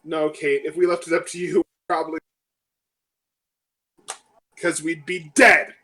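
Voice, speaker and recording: A man's voice: a little high, flat delivery, unhurried, pushed and bright. A man playing a tabletop game, chatting casually with wry, teasing remarks.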